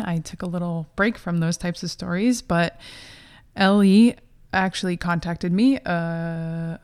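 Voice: mid-range voice, gravelly, darkish tone, clean tone. A podcast host opening the show and chatting casually in a friendly, upbeat way, with fillers like "like" and "uh". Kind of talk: casual interview.

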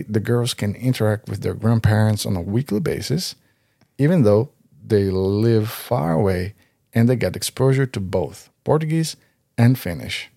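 A man in his thirties co-hosting a podcast, talking in a steady, conversational and slightly halting way. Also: lowish voice, creaky, no room sound, clean studio sound. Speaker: podcast co-host. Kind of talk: scripted podcast talk.

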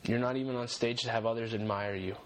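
A man's voice: mid-range voice, steady tone, quick pace. Earnest young man giving faith-based encouragement. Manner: earnest, encouraging, repeats key phrases for emphasis. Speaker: podcast host.

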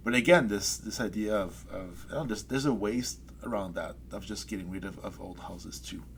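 A man's voice: fairly low pitch, moderate pace, gravelly. Thoughtful hesitant man, faint room hiss. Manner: thoughtful, hesitant, many restarts and trailing off. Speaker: poet guest. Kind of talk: reflective interview chat. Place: Saskatoon resident.

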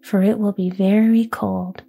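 A woman voicing a bold, bossy little robber girl in a storybook, in a hushed commanding whisper. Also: medium pitch, fairly even intonation, measured pace, gravelly, slightly rough, faint music behind.

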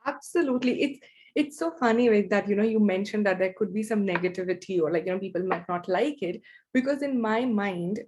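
A woman's voice: mid-range voice, fairly quick, noisy background. A woman chatting effusively and casually as a podcast host in an interview.

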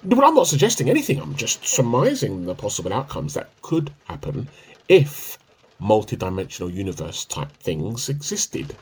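An easygoing husband, acted by a man in a domestic radio drama. His voice is slightly rough and moves widely in pitch as he banters and dodges, sounding like someone chatting at home. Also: wildly swooping pitch, moderate pace, heavily acted. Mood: defensive. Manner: conversational, bantering. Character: easygoing, evasive husband, bad at keeping secrets. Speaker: amateur radio play actor. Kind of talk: domestic radio play dialogue.

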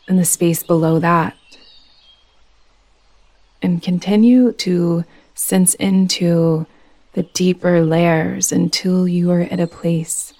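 A woman leading a guided meditation as a yoga nidra teacher, speaking in a soothing, gentle, unhurried manner. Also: lowish voice.

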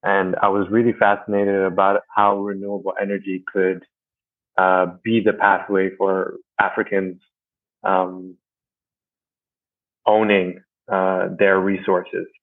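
A young man with a low, even voice talks through his background in a relaxed, thoughtful way, pausing often with fillers. He is from Rwanda and Canada. The sound is dry and thin, like a phone line. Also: dry recording. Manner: thoughtful, many "um" pauses. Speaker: guest, energy company manager. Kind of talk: casual interview talk.

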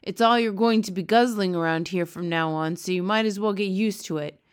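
A woman's voice: mid-range voice, fairly quick, clear, resonant tone, natural voice. A woman voicing a very old, kindly male giant who talks with mangled grammar and made-up words. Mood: gleeful.

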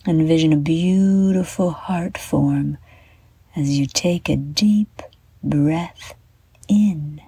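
Very soft, breathy, slow-speaking woman. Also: deep voice, dry recording. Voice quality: soft, breathy, half-whispered. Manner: gentle, soothing, deliberate phrasing with frequent pauses. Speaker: meditation guide and show host. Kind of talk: guided bedtime meditation for children.

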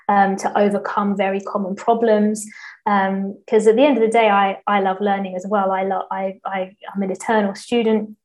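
A woman's voice: medium pitch, darkish tone, rough recording. A woman who teaches Portuguese as a non-native speaker, explaining in a casual interview, with many fillers and restarts. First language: English.

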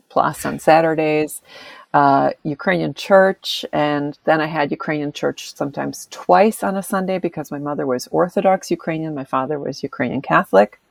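A middle-aged American woman, an author, chatting in an interview and telling animated family stories, laughing often and acting out the voices of people she quotes. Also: deep voice, gravelly, dry recording. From United States. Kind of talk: casual interview conversation.

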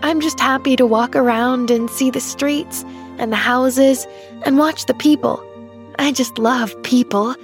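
A woman's voice: sits high, a little slow, faint music behind, voice pushed up. A woman voicing a cheerful, friendly, chatty young girl in a storybook, with an eager, chirpy manner. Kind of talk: storybook character voice.

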